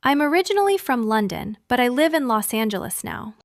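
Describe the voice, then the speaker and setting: A young woman from California co-hosting an English-learning podcast, speaking clearly like a teacher and laughing often. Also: fairly high pitch, big pitch swings, a little fast, no echo, studio quality. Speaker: podcast co-host.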